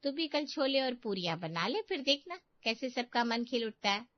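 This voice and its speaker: Woman acting consoling mother, practical, reassuring, middle-aged, raised pitch, dry sound, cartoon storybook. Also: big pitch swings, normal speed, no room sound, dull audio. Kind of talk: Hindi animated family story.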